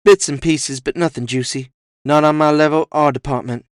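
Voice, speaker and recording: A sassy, teasing woman played by a man in a cyberpunk audio drama. The voice is pushed up high, with a familiar, knowing manner, and it is recorded close and dry. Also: fairly even intonation, moderate pace, no echo, natural voice. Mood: gossipy. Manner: sassy, familiar, calls people honey. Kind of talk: audio fiction character voice.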